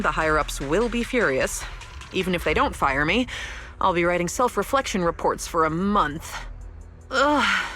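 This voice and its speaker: A woman's voice: medium pitch, fairly bright tone. An actress playing a playful, rebellious young woman researcher in a video game, teasing, breezy and sure of herself. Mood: playfully grumbling. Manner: teasing, breezy, self-assured. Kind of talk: scripted video game story dialogue. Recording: music behind the voice.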